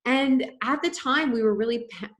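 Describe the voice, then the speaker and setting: A woman's voice: high voice, moderate pace. Upbeat, bubbly woman hosting an interview. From Canada. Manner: enthusiastic, upbeat, laughs easily. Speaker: podcast host, interviewer. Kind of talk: casual interview chat. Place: home in a small coastal community.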